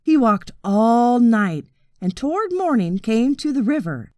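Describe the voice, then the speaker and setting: A woman narrates a children's audiobook at an unhurried pace. Her expressive delivery swings widely in pitch, and the recording is close and dry. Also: dry recording. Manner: expressive, deliberate storytelling. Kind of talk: scripted children's story narration.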